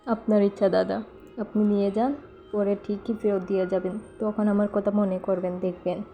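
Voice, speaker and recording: An ominous cartoon stranger, voiced by a woman, insistent and foreboding. The warnings come in a mellow, level voice with faint music behind. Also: medium pitch, little pitch movement, moderate pace, lightly acted.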